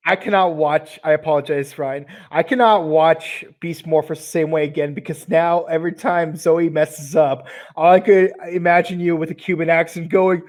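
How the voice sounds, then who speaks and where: A man's voice: fairly high pitch, steady tone, normal speed, hardly any pauses, darkish tone. A man co-hosting a casual interview, speaking in a thoughtful, reflective way. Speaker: co-host.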